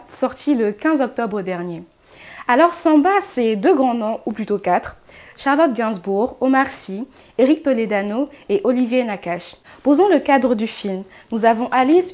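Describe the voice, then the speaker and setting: A young woman presenting a film review in a student news segment, reading a prepared script in a measured way. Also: on the high side, a little mellow. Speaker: culture columnist.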